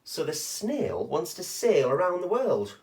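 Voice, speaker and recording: A man's voice: fairly high pitch, wildly swooping pitch, a little slow, darkish tone, low-quality recording. A man reading a rhyming children's picture book aloud expressively, with short chatty asides to young listeners.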